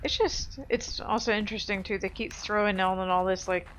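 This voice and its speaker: A woman's voice: medium pitch, steady tone, moderate pace, slight background noise, rough recording. A woman hosting a let's play with casual, rambling, self-deprecating game commentary. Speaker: gamer, let's play host.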